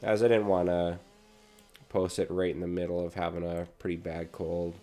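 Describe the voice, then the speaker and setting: Man, young adult, low voice, raspy from a cold, mellow, relaxed gameplay chat. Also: moderate pace. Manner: relaxed, chatty, talks while playing. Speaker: gaming channel host. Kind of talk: casual gameplay commentary.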